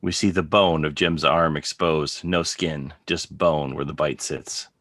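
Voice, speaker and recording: A man's voice: a bass voice, steady tone, unhurried, a bit bright. A man reading scripted drama narration as the narrator on a video call. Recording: video call under clear music.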